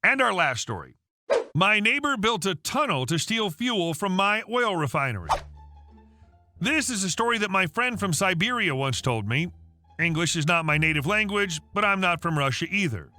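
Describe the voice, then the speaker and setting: A man narrating online stories in a steady, even read-aloud delivery. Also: high-pitched, moderate pace, faint music behind.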